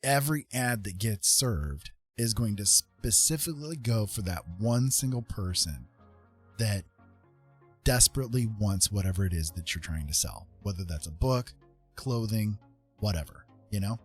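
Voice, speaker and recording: A man with a slightly low, husky voice hosts a casual livestream. He gives advice in a loose, rambling way, checking in often with little tag questions. Music plays in the background. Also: moderate pace. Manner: informal, rambling, often checks in with "right?" and "you know". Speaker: livestream host giving advice. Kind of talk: casual advice chat to viewers.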